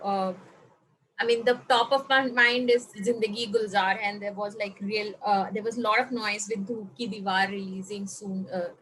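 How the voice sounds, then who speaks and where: A woman in her thirties with an Indian accent, interviewing over a video call in a halting, conversational way. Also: a little high, sharp tone. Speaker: interviewer, moderator. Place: indoor room, video call. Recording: clearly noisy video call.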